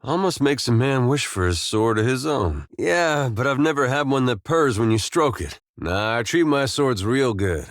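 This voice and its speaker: An actor playing an easygoing, loyal farmer turned soldier in a video game, with laid-back folksy phrasing and dry humour. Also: male voice, mid-range voice, expressive, moderate pace, hardly any pauses, no echo, close to an everyday voice. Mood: wry, teasing. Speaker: video game companion character. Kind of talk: game dialogue and battle barks.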